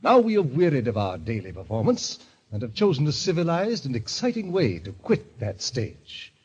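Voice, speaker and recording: Sinister, genteel club president, fifties, very husky, swooping delivery, pompous 1940s radio mystery style, dull lo-fi recording. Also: male voice, medium pitch, huge pitch swings, darkish tone. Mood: grandiose, solemn. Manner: pompous, florid, genteel, deliberate phrasing. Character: suave, sinister club president of fifty, relishing death.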